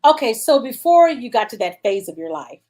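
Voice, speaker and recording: A woman's voice: fairly high pitch, very animated, fairly quick, warm tone. A woman hosting a casual live-stream chat, bubbly, laughing often and reading viewer comments aloud. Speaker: show host.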